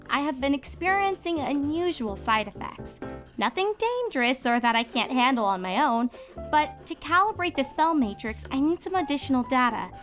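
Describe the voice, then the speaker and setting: Woman voicing an excitable, high-pitched young pony student. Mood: formal, careful. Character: earnest, excitable young female magical pony student, bossy under pressure.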